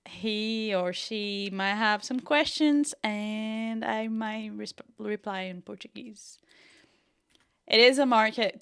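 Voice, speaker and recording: A woman's voice: a little high, a bit bright, clean tone. A young woman from Brazil with a Brazilian accent mixed with a Northern Irish one, giving a chatty livestream design tutorial and muttering to herself as she works. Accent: Brazilian with a Northern Irish mix. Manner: chatty, thinks aloud while working, mutters to herself.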